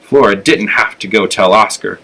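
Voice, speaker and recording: Narrator: male, slightly low voice, expressive children's story reading. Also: moderate pace, gravelly, poor audio. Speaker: elementary school storyteller.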